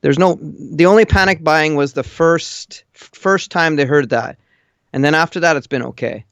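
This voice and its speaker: A man's voice: on the high side, normal speed, fairly bright tone, no room sound, studio quality. A man calling in over an online voice call, chatting casually with blunt, matter-of-fact opinions. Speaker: caller living in Japan. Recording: muffled lo-fi internet call.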